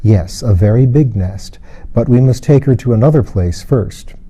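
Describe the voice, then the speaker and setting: A man voicing a serene, wise and kindly fairy-tale woman in a storybook, with calm, solemn, poetic phrasing. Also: mid-range voice, normal speed, natural voice. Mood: calm, reassuring.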